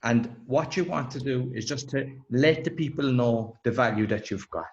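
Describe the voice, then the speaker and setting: A man's voice: mid-range voice, moderate pace, lo-fi sound, slight background noise. A man hosting a speaking podcast, talking casually and reassuringly, often repeating words as he starts a sentence. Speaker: podcast host. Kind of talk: casual interview.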